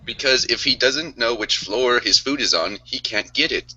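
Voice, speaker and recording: Bright, forceful joking man. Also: mid-range voice, normal speed, husky, dull audio. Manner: dry, joking, slightly unusual vowels. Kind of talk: casual group chat.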